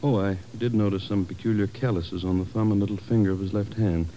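Man as matter-of-fact doctor, very dark tone, measured, 1950s radio drama, faint noise. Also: lowish voice, fairly even intonation, lightly acted. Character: matter-of-fact male morgue doctor, politely helpful. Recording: muffled noisy 1950s radio recording.

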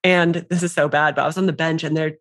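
A woman in her thirties hosting a casual podcast chat, quick and chatty, often laughing. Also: deep voice, fairly even intonation. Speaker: podcast host.

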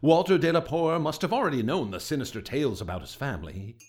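Troubled gentleman, swooping intonation. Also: on the high side, big pitch swings, fast. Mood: grave. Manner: earnest, hesitant, stammering when unsettled. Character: troubled gentleman recounting strange, frightening events at his ancestral home. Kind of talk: radio drama acting, halting testimony.

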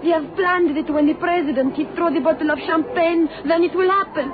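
An actress playing a fretful Italian wife, pleading in accented broken English in an old radio thriller. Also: female voice, very high voice, fairly quick, crowd noise. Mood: urgent. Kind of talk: 1960s-70s radio thriller acting. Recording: muffled old radio recording, background noise.

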